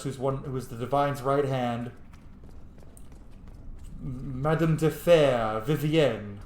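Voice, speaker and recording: A man giving casual, sweary gameplay commentary, thinking aloud and playfully bursting into silly exclamations. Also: medium pitch. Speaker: streamer playing a role-playing video game. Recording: roomy echo, faint background noise.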